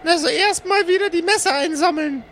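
Man putting on a high-pitched, fussing, proud minotaur. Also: fairly quick, a bit bright, a little hiss or hum. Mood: fond, advising. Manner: gushing, praising and fussing. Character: proud, encouraging minotaur companion, motherly mentor. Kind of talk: improvised pen-and-paper audio play.

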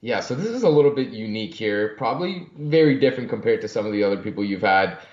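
A young man in his twenties, raised in Canada, calmly talking football as a podcast guest. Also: fairly low pitch, quick pace. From born in Germany, raised in Canada. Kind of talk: casual sports podcast talk. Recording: muffled echoey remote line.

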